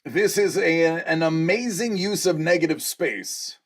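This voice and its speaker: A man chats casually as host of a collectibles livestream, his voice gravelly with fry and pitched a touch high. His delivery swings up and down in a lively, animated way, joking along with the chat. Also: measured pace. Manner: jokey, reading out chat messages.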